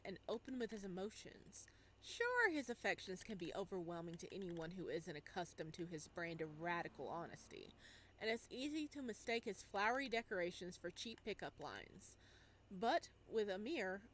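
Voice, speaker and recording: A woman with a mid-pitched voice reads game text aloud as the host of a playthrough, adding casual reactions along the way. There is clear background noise under her voice. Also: poor audio.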